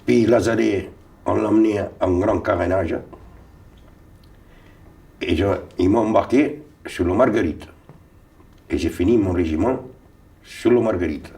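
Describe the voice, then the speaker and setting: An older man from Tunisia, Sicilian by first language, tells his memories in a fairly low voice. He rambles, repeating words and starting over mid-sentence. He sits a little away from the microphone, so his voice sounds slightly distant. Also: a little slow, gravelly, pauses often, dark tone. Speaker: interviewee. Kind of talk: recounting memories. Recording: voice a little far from mic.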